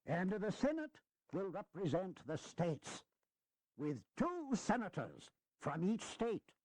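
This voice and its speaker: An old statesman delivering earnest, wry oratory in a historical radio play. His high voice swings widely in pitch. He speaks deliberately and emphatically, in a very dry, close recording. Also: huge pitch swings, darkish tone, no echo, heavily acted. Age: older.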